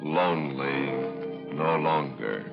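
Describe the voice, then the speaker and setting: A man narrating and hosting a vintage horror radio show in an ominous, theatrical, drawn-out manner. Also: extremely low pitch, creaky. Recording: muffled vintage radio, loud music behind.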